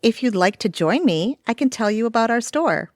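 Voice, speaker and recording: A woman plays a courteous bookstore clerk with a fairly high, expressive voice. She is guarded and easily flustered, acted for comic audio theatre in a dry recording. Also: moderate pace, no echo, close to an everyday voice. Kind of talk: comedic audio theatre acting.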